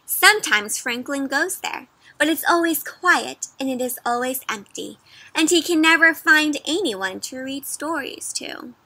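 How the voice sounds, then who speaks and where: Bright, high-voiced young woman reading to kids. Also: unhurried, low-quality recording. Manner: animated, sing-song, addressing children with questions. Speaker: children's storybook reader and host. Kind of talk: interactive read-aloud for young children. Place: outdoors in a leafy yard.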